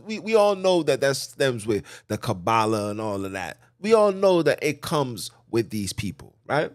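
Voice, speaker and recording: A man hosting a podcast, preaching a monologue with animated, emphatic delivery, often jumping high in pitch and swinging between loud and hushed. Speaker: podcast host.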